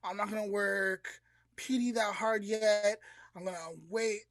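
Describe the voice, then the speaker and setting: A woman's voice: mid-range voice, fairly even intonation, measured pace, no room sound, studio quality, lightly acted. Woman voicing a determined, earnest young male jockey. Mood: confident.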